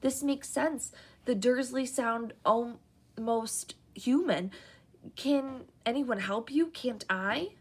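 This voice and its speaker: A woman's voice: a little high, slow pace, slightly rough, voice pushed up, heavily acted. A woman voicing a nervous, polite young boy wizard who talks awkwardly. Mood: earnest. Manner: nervous, polite, hushed at times.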